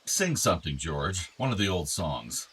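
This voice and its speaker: An actor playing an ailing, tender older father in a radio play, reflective and gently earnest. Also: sits low, big pitch swings, moderate pace, breathy edge, heavily acted. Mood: fond, wistful. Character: ailing, tender, wistful aging father of two grown sons.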